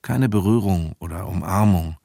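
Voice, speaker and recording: Grown son narrator, low voice, sober, reflective, literary radio drama. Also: male voice, normal speed, gravelly, mellow, no room sound, clean studio sound. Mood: somber, reflective. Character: grown son soberly recalling his parents.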